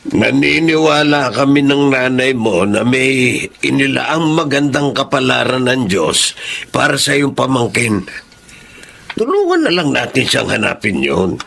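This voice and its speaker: A man playing a kindly, hopeful elderly Filipino grandfather in a radio drama, with a gentle, earnest, folksy delivery. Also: medium pitch, very animated, unhurried, gravelly, heavily acted. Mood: earnest, protective. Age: older.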